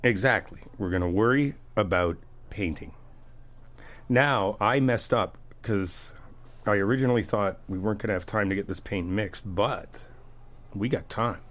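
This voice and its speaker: A man's voice: fairly low pitch, moderate pace, fairly bright tone. A man doing a friendly ASMR roleplay, chatty and teasing, reassuring the listener as he helps out. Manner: reassuring, helpful.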